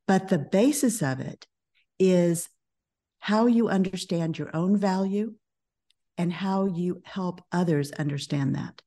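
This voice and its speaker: A middle-aged woman speaking as a podcast guest, a business coach who talks in a calm, measured way. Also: lowish voice. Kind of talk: podcast interview.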